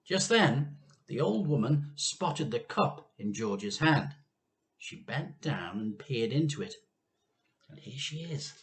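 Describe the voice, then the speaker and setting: A British man, a teacher reading a children's story aloud in a dramatic, deliberate way. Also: mid-range voice, very animated, slight background noise. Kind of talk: animated children's storybook narration.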